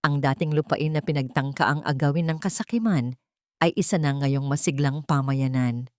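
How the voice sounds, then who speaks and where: Female narrator for dramatic audio fiction: lower register, solemn and sentimental, dry studio-like sound. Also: no echo. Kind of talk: scripted dramatic story narration.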